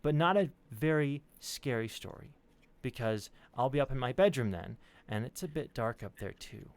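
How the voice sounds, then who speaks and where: A man's voice: mid-range voice, animated, moderate pace, dry recording, voice pushed up, close to an everyday voice. A man voicing a serious, precocious young boy in a storybook read-aloud, careful and thoughtful, a bit scared. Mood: earnest.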